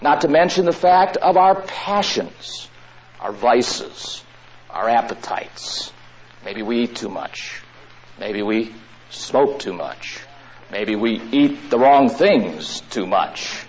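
A man preaching a sermon to a room of listeners, drawing out his words with long pauses. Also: medium pitch, big pitch swings, slight background noise. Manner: slow, drawn-out phrasing with long pauses. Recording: dull lo-fi recording.

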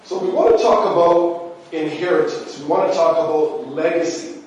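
A man's voice: fairly high pitch, lively intonation, moderate pace, a little mellow, highs cut off, slight background noise. A man preaching a sermon, his voice nasal and stuffy from allergies, chatty and full of "you know" fillers. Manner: conversational, heavy use of "you know" fillers. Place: church sanctuary. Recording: very echoey church from far off.

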